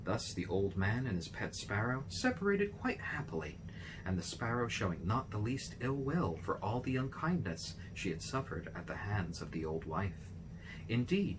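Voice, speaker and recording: A man reading a fairy tale aloud as an expressive narrator. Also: fairly low pitch, background noise. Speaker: storybook reader.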